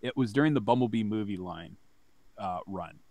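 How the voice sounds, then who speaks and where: A man co-hosting a casual podcast, rambling through long animated stories with some swearing. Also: fairly low pitch, moderate pace, no room sound. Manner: rambling, animated storyteller, profane. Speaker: podcast co-host.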